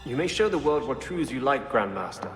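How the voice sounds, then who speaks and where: An actor playing a proud, zealous French revolutionary politician in a video game, declamatory and defiant. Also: male voice, mid-range voice, sing-song, brisk, close to an everyday voice. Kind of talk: video game cutscene acting. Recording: echoey room, loud music behind.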